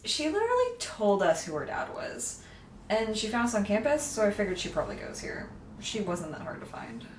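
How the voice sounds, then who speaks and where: An actress as a guarded young woman in an audio drama, blunt and dryly understated, a little halting. She sounds set back from the mic in a roomy space. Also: mid-range voice, wildly swooping pitch. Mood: matter-of-fact. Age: young adult. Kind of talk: naturalistic audio drama dialogue. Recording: roomy, distant mic, faint noise.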